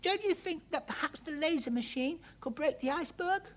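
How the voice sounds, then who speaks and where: Narrator acting small girl, high-pitched, breathy, timid, earnest, pleading, tender-hearted, storybook character. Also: expressive, a little fast. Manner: breathy, wide-eyed, pleading.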